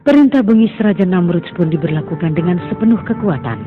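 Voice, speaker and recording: High-voiced male cartoon narrator. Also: expressive, fast. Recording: tinny phone-like sound under music.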